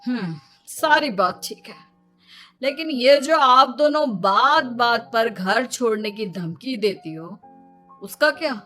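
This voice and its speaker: Woman voicing a high-voiced, exasperated young husband. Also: lively intonation, slow, pushed and bright, faint music behind, exaggerated voice. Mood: stern. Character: harried young husband, exasperated and put-upon. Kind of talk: Hindi children's cartoon dubbing.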